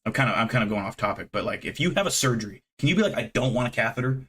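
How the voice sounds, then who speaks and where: Thirties male streamer, loose profane chatter, mid-range pitch, self-deprecating. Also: animated, racing, fairly bright tone, breathy edge. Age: 30s. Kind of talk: casual live-stream chat with viewers.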